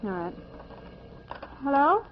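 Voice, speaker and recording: An actress playing a spirited, playful detective's assistant in an old-time radio mystery. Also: female voice, sits high, very animated, slow pace, full character voice. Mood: perky, curious. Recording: muffled, noisy old radio recording.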